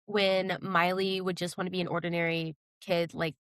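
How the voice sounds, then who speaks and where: A young woman co-hosting a casual pop-culture podcast, chatting in an animated, playful way with dramatic retellings. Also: on the low side, moderate pace, clean tone, dry recording. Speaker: podcast co-host.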